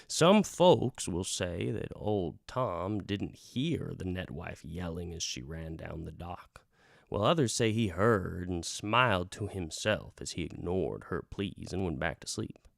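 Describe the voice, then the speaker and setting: A man storytelling in the persona of a travelling bard, theatrical and wry, dropping toward hushed tones and swelling for emphasis. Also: sits low, lively intonation, measured pace, gravelly, no echo, studio quality. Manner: theatrical, hushing to near whispers and swelling for emphasis; wry, conversational asides to the listener. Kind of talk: dramatic folk-tale storytelling, part radio drama.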